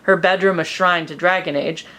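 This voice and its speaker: A woman presents a piece of fan fiction as a narrator. Her voice is a little low, and she reads in an expressive, amused way suited to comedy. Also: normal speed.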